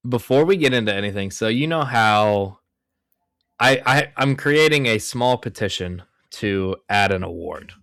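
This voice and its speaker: A man's voice: fairly low pitch, lively intonation, measured pace, fairly bright tone, clean tone, no echo, clean studio sound. A young man in his twenties hosting a podcast, talking casually and rambling with lots of fillers. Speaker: podcast host. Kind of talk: casual chat.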